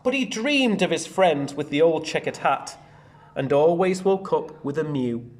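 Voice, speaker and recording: A man, a primary teacher, reading a storybook aloud in an expressive sing-song way with chatty asides. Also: on the high side, a little slow. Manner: animated, sing-song rhyming read-aloud.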